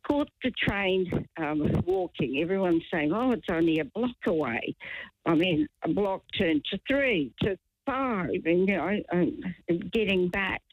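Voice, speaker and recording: A woman's voice: fairly low pitch, very animated, measured pace, dry recording. A woman in her seventies calls in over a tinny phone line, chatty and indignant. Her voice comes through dry and thin. She rambles along with cheerful self-mockery. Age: 70s. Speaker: radio caller. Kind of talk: phone-in chat. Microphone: telephone.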